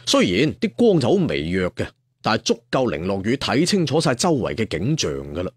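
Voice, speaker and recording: Male storyteller for serialized fiction: slightly rough voice, animated delivery, colloquial and dramatic. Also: mid-range voice, brisk, dry recording, studio quality. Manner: colloquial, addresses listeners with rhetorical questions. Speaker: audiobook storyteller. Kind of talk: dramatic traditional storytelling narration.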